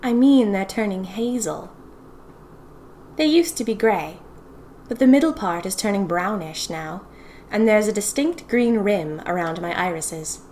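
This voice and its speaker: A woman's voice: mid-range voice, a little mellow, a little hiss or hum, lightly acted. A woman playing a young 1920s typist who confides eerie happenings to her diary in a chatty, dramatic, wry way. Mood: puzzled, confiding. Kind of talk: first-person audio fiction diary narration.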